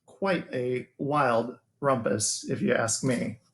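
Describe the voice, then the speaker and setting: An American man, a schoolteacher, speaking to children as he shares a bedtime storybook in an expressive way. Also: mid-range voice, slow, background noise. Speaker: elementary school teacher reading a bedtime story. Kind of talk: children's storybook read-aloud.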